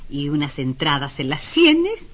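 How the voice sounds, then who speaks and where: A woman's voice: sits low, huge pitch swings, unhurried, fairly bright tone, full character voice. A woman acting in a radio drama as a sculptor's teasing, practical companion, speaking expressively and playfully.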